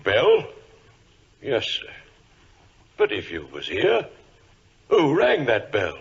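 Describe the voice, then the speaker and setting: A man's voice: medium pitch, wildly swooping pitch, takes it slowly, vocal fry, heavily acted. A man playing a deferential, plain-spoken night porter in a 1940s radio drama, with a working-class British accent. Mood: sleepy, puzzled. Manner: deferential, colloquial. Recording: noisy, dull old radio recording.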